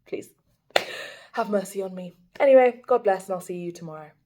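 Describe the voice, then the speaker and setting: A young woman reading scripture aloud at a steady, even pace for her daily Bible video, speaking into a phone. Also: mid-range voice, fairly quick.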